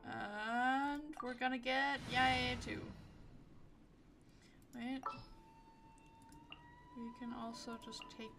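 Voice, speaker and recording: A woman giving casual live commentary as she plays a game. Her voice is on the high side and she talks slowly, in playful, offhand bits. Game music is clearly heard behind her. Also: steady tone. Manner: playful, short offhand reactions, swears. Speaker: game streamer.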